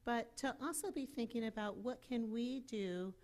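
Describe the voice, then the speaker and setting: A woman's voice: on the high side, moderate pace, a little mellow. A woman from the program staff giving plain, informal introductory remarks to a meeting room.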